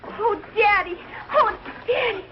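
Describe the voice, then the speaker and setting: A young woman playing an excitable, adoring teenage daughter in a 1940s TV sitcom, gushing and dramatic. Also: very high-pitched, slow pace. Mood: thrilled, gushing. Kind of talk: 1940s live TV sitcom acting. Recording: dull, noisy old TV soundtrack.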